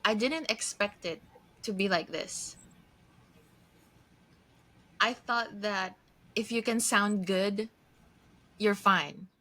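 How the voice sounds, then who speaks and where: A woman's voice: medium pitch, pushed and bright. A woman in her early thirties from the Philippines who lives in Japan, co-hosting a casual chat with an old friend, laughing often and restarting sentences. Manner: laughs often, talks in quick bursts with restarts. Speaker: podcast co-host.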